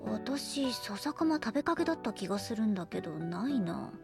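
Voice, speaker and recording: A woman voicing a grumpy, sharp-tongued scientist in a Japanese audio drama, with blunt, rough scolding retorts. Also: mid-range voice, sing-song, moderate pace, music in the background. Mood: grudging, calmer.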